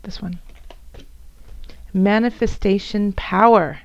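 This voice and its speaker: A woman with a raspy, hoarse voice from bronchitis, softly reading tarot cards for her viewers, at times almost whispering. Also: mid-range voice, fairly even intonation, slow pace, no room sound, lo-fi sound. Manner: soft, at times whispery, with fillers and restarts. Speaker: tarot reader.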